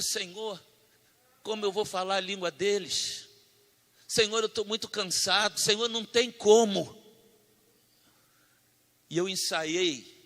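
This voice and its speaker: A man preaching a sermon, telling a personal testimony, moving between loud fervent declaiming and hushed storytelling. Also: high voice. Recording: long hall reverb.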